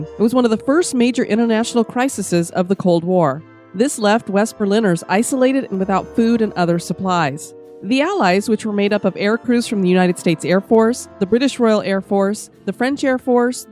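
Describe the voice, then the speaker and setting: A woman's voice: mid-range voice, a little mellow. A middle-aged woman with a stuffy summer cold reads her scripted podcast narration as host.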